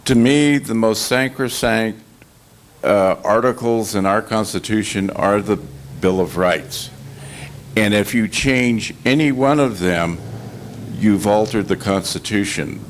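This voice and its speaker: An older American man giving measured, halting remarks as a panel guest. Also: on the low side, a little hiss or hum. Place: storefront meeting room, live event.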